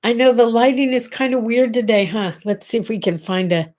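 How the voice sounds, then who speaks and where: A woman's voice: on the high side, brisk, a little mellow. A middle-aged woman with a congested, nasal voice, hosting a casual morning livestream chat from her home and chatting directly to viewers. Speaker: host. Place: home bedroom.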